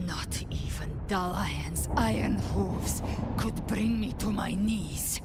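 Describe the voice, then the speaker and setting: Slow, defiant female hunter. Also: medium pitch. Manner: proud, deliberate, resolute. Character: defiant, battle-hardened young female demon hunter. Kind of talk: video game cutscene acting.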